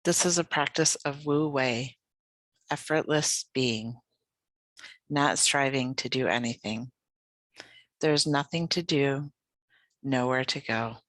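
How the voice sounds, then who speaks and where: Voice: mid-range voice, dry recording. Soothing guided meditation voice, close and dry. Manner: gentle, soothing, unhurried instruction. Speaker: yoga nidra guide.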